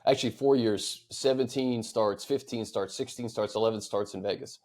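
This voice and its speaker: A man's voice: lowish voice, moderate pace, darkish tone. A man co-hosting a sports talk show, casually discussing the team and putting fan questions to his partner.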